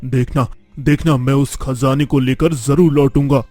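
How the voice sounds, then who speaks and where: A man's voice: mid-range voice, steady tone, a little slow, a little mellow, soft background music, natural voice. A young man playing a determined, boastful eldest son from a village in a cartoon story, with an earnest, declamatory delivery. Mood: determined, reassuring. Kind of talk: dramatic Hindi cartoon story dialogue.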